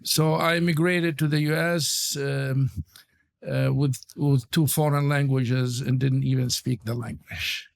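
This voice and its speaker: A man in his sixties from Lebanon with a Lebanese accent, a podcast guest telling his life story in a halting way with many fillers. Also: mid-range voice, fairly even intonation, gravelly. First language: Arabic. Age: 60s. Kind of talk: interview storytelling.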